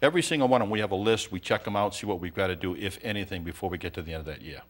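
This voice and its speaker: A middle-aged male accountant, a guest on an interview show, explaining things in a brisk, matter-of-fact way. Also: low-pitched.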